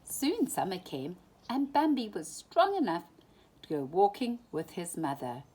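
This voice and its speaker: A woman reading a children's story aloud in an animated, dramatic way, often dropping into hushed tones. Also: on the low side, measured pace, husky. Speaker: storybook reader.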